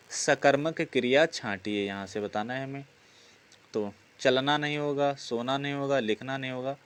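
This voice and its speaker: A man's voice: medium pitch, clean tone. A man teaching a lesson through practice questions, often asking rhetorical questions and answering them himself. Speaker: Hindi grammar teacher for exam preparation.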